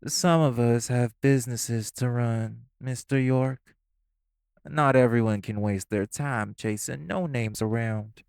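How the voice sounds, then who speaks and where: A man's voice: medium pitch, slow pace, vocal fry, a little mellow, clean tone, dry recording. An actor playing a smug, catty male crime businessman who needles the others with sarcasm. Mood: haughty. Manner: sarcastic, provoking, flirtatious jabs. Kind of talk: audio roleplay acting.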